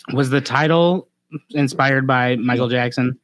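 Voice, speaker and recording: A man co-hosting a casual chat, speaking informally in halting phrases with some swearing. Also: medium pitch, a bit bright, dry recording, rough recording. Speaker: podcast co-host.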